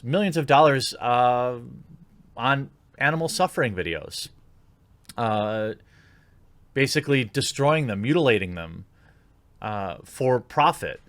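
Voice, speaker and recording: A man's voice: mid-range voice, fairly bright tone, no echo. A man giving opinionated video commentary in an indignant, informal, sarcastic manner. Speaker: YouTube commentator.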